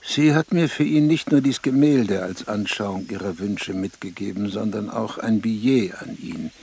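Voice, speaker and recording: An actor playing an imperious, demanding prince-elector in a radio drama, speaking in a stately archaic manner with a husky voice. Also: male voice, fairly low pitch, moderate pace, a little mellow.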